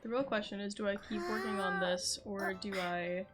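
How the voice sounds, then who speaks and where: A woman's voice: medium pitch, steady tone, normal speed, loud music behind the voice. A young woman streaming a game, chatting casually and rambling with fillers as she talks to her viewers. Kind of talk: casual gaming stream chat.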